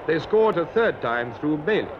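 A man's voice: fairly high pitch, expressive, moderate pace, a crowd in the background, background noise. Man narrating a 1950s newsreel, muffled vintage sound. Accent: British. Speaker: newsreel reporter. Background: music.

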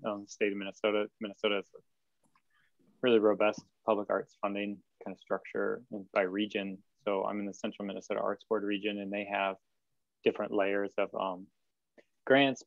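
Lowish guy on a muffled dry webcam, casual panel talk, lots of ums. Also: vocal fry, no echo. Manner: loose, filler-heavy, restarts. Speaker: panelist artist. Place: studio workspace. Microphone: video call webcam. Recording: dull dry webcam audio.

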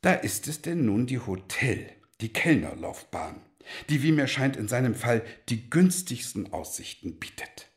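A worldly godfather holds forth in a radio play. He speaks slowly and floridly, with sweeping, animated pitch, like an avuncular man grandly giving advice. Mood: persuasive, confident. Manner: theatrical, florid, deliberate speech. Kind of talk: German radio play acting.

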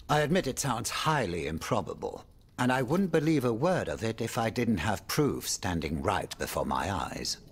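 Game role: worldly French nobleman. Husky, highly expressive, theatrical and courtly, with worried, confiding asides. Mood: troubled, confiding. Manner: theatrical, courtly, swinging between exclamation and confiding murmur. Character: urbane male French aristocrat, worried, wry, world-weary. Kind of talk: dramatic video game dialogue.

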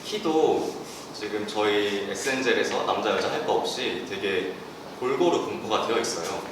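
A young man answering student questions in a calm, matter-of-fact way. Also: on the low side, normal speed. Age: young adult. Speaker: student ambassador. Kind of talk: casual panel Q&A. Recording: echoey room, distant mic, noisy.

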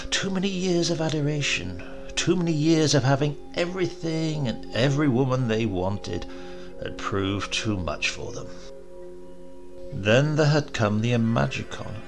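Slow, gravelly male story narrator. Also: mid-range voice. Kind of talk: audiobook narration.

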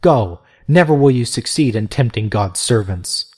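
A man's voice: medium pitch, animated, moderate pace, a little mellow, breathy edge, faint music behind. A man reading aloud, voicing a defiant elderly abbess who speaks with indignant scorn.

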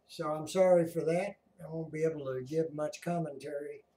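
A man giving casual, halting running commentary as a radio hobbyist, recorded indoors in his radio room. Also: fairly high pitch, dark tone. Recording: roomy echo.

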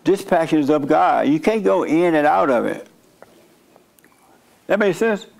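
A middle-aged man preaching in a blunt, declarative way, answering questions from his seat on a studio stage. His voice sits a little higher than most men's. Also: expressive, vocal fry, darkish tone. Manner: blunt, preachy, quick asides and mock-prayer voices. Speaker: pastor. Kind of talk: sermon-style Q&A with audience. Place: dark studio set, seated by small table.

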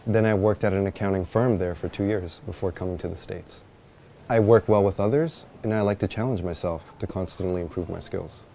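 Guy doing an earnest job applicant, polite, careful, really low and mellow voice. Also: a little fast, creaky, a little hiss or hum. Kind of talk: scripted dialogue for language learners. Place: office interior.

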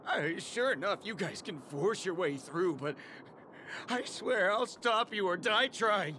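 Game role: washed-up guard, middle-aged, slow, highly animated, theatrical. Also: male voice, a little hiss or hum, exaggerated voice. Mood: pathetic, self-pitying. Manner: melodramatic, drawn-out delivery. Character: washed-up male security guard, moods swinging from glum to manic. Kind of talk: theatrical video game character acting. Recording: game music under the voice.

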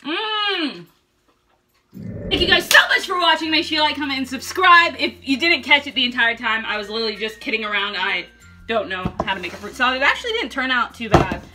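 A young woman clowns her way through a cooking tutorial in her home kitchen, swearing nonstop with a brash, mock-expert delivery. Her voice sits a little high, and she talks to a camera set up in front of her. Loud music plays underneath her talk. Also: expressive, brisk, poor audio. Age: young adult. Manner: brash, profane, mock-instructional. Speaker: vlogger. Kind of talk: comedic cooking vlog. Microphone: camera in front at table height. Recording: music playing loudly behind.

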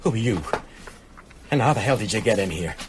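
An actor playing a gruff, shady small-town American businessman in a radio drama, with a husky voice and American accent. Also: on the low side, lively intonation, fairly quick, slight background noise, close to an everyday voice. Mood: tough, wary.

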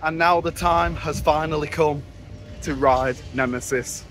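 A man's voice: fairly high pitch, fairly even intonation, measured pace. Chatty guy touring a park. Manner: chatty, enthusiastic tour guiding. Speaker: theme park vlogger. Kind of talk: casual vlog commentary. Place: outdoors at a theme park.

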